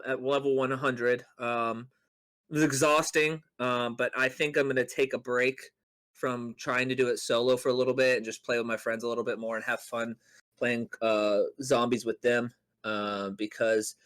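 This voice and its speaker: A man's voice: mid-range voice, fairly bright tone, clear, resonant tone. A man chatting casually as a podcast co-host, telling stories in a rambling way with playful mock boasting. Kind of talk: casual chat on a gaming podcast.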